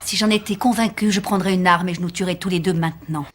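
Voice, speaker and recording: A woman playing a stern, controlled mother worn down by her rebellious son in a dubbed TV drama, with measured, formal, biting delivery that often turns hushed. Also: lowish voice, fairly even intonation, lightly acted. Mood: cold, cutting. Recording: music under the voice. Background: music.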